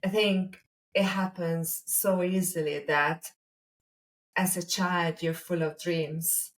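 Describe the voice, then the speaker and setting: A woman from Hungary speaks with a Hungarian accent in a reflective, conversational way. Her pace is very slow, with deliberate pauses, and her pitch sits a little low. She sounds somewhat away from the microphone. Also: steady tone, far from the mic. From Hungary, a small village. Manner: deliberate, pausing mid-sentence. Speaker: podcast co-host.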